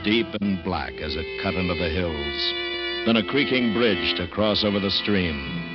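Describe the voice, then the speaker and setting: Deep, slow, ominous radio narrator. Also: male voice. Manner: measured, lyrical, suspenseful. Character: grave, unhurried storyteller of a horror tale. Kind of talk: old-time radio dramatic narration. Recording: muffled old radio recording. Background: loud dramatic music.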